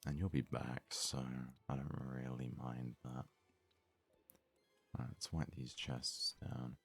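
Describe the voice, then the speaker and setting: A man speaks in a very deep, husky murmur with little rise or fall in pitch. He is hosting an ASMR let's play, softly thinking aloud at an unhurried pace, close to the mic. His delivery stays level and gentle throughout. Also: little pitch movement, soft background music. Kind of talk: soft-spoken ASMR gaming commentary.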